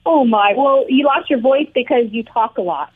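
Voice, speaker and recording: A woman's voice: high voice, expressive, moderate pace, husky. A woman, co-hosting a talk show in bubbly, chatty talk with frequent laughter. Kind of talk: casual on-air chat. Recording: thin phone-line sound.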